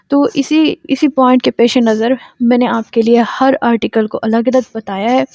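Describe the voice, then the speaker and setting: A woman's voice: fairly high pitch, dark tone, dry recording. A woman with a Pakistani accent giving a law lecture, explaining patiently step by step. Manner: patient step-by-step explaining, switching between Urdu and English. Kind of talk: explanatory exam-prep lecture, reading statutes aloud and explaining them.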